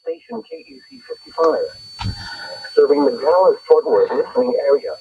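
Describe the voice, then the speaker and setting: A man's voice: a little high, big pitch swings, normal speed, husky. A man announcing an official weather bulletin, heard through a radio speaker. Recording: tinny, distant weather radio speaker playback.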